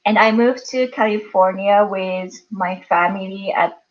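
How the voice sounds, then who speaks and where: A woman in her thirties, originally from Japan, speaks in a slow, unhurried way with frequent soft laughs between phrases. Her voice comes through slightly dull, lo-fi audio. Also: mid-range voice. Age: 30s. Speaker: guest. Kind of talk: interview answers.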